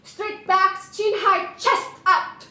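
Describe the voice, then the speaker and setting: High slow voice, roomy echo; woman as young actress reading diary notes, cracking into laugh-sobs. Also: little pitch movement, bright, forceful tone, full character voice. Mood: measured. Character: young woman actress unraveling under a harsh coach. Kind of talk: audio drama acting.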